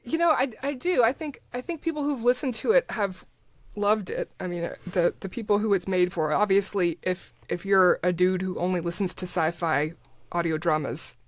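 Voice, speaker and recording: A middle-aged woman speaking as a podcast guest in a casual, halting, informal and rambling way. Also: lowish voice, dry recording. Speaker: podcast guest, audio drama creator. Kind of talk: casual interview chat.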